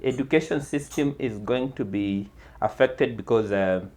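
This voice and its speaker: A man speaks on a relaxed podcast panel at an ordinary pitch. His voice is naturally loud, and he talks haltingly, repeating words as he works through his point. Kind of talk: casual panel discussion.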